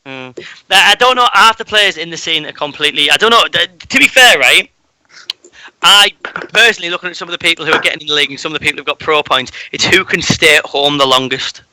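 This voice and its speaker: A man's voice: on the high side, fast, bright, forceful tone, slight background noise, rough recording. A man rambling and swearing freely while hosting a casual gaming podcast. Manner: rambling, sweary, restarts a lot. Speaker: podcast host. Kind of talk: casual esports podcast chat.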